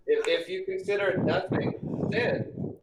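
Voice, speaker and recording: A man's voice: high-pitched, a little slow, heavy background noise. A man arguing his faith as a guest in a casual religious debate, earnest and preachy. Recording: roomy, echoing voice.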